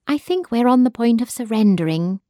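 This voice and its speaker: A woman's voice: on the high side, lively intonation, brisk, warm tone, dry recording, studio quality, voice pushed up, natural voice. A woman voicing an urgent young daughter begging her mother to flee. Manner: pleading, urgent.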